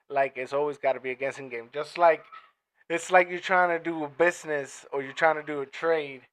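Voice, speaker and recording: A man's voice: medium pitch, fairly bright tone, clean tone, rough recording. Laid-back male podcast host. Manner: laid-back, repeats his own phrases. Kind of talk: casual interview chat.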